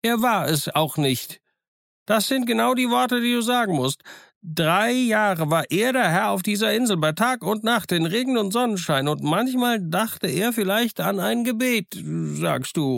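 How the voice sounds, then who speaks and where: A chattering, eccentric old castaway sailor, his voice pitched high above the narrator's and a bit louder, with a gravelly edge. He rambles insistently and confidentially. The sound is dry and close. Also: dry recording, heavily acted.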